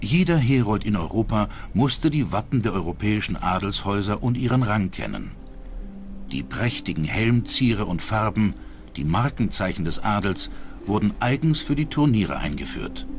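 A man reading scripted documentary narration in a measured, factual way. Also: lowish voice, big pitch swings, vocal fry, background noise.

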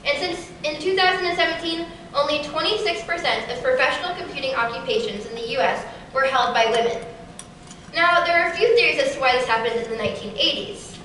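A teenage girl giving a prepared keynote talk in a large auditorium, with a steady, rehearsed delivery. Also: sits high, a little fast, a little hiss or hum. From Kansas City area. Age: teens. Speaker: keynote speaker. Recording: echoey hall from far off.

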